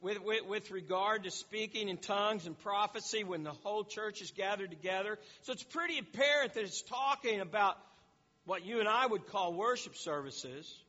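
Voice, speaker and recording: A man's voice: high voice, lively intonation, moderate pace, pushed and bright, in a large hall, lo-fi sound. A man teaching a sermon in an explanatory way, often repeating words and restarting phrases. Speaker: preacher.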